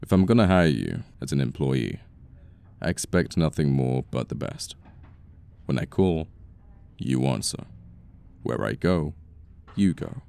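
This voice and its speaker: Very deep, gravelly British boss, acted by a man. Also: lively intonation, measured pace, pauses often, warm tone, heavily acted. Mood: stern, businesslike. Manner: haughty, sardonic, formal wording. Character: cold, bitter young boss, a former nerd. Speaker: voice actor in an audio roleplay. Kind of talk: intimate ASMR roleplay acting.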